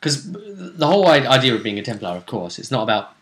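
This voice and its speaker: A man's voice: mid-range voice, big pitch swings, a little fast, echoey room. A man hosting a tabletop role-play show, talking through events in casual recap commentary. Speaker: host of a tabletop role-play tournament vidcast.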